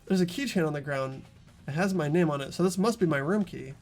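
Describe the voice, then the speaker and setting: Man playing an earnest, high-voiced teenage boy hero. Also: brisk, darkish tone, faint music behind, natural voice. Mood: worried. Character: anxious teenage boy, earnest game protagonist.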